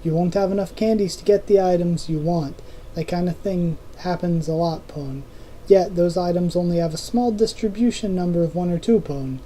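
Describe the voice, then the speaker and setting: A hoarse reader voicing a game guide mascot who tacks a catchphrase onto its lines, speaking it lower and softer than the narration. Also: normal speed, slight background noise, lightly acted. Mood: advising.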